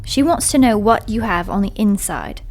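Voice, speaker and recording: An actress playing a controlled, dangerous gun-toting nun in an audio drama, speaking in a terse, formal way. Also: female voice, mid-range voice, expressive, moderate pace, lightly acted. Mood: cool, guarded.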